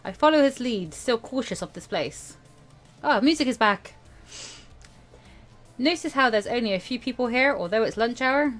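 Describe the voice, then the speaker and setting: A woman hosting a let's play, reading a visual novel aloud in an expressive, playful, dramatic way. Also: fairly high pitch, moderate pace, pauses often, faint music behind.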